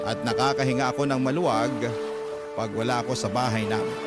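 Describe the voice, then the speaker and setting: A man with a Filipino accent reading a first-person letter as dramatic narration for a Tagalog radio drama. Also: fairly high pitch. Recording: prominent music bed behind voice.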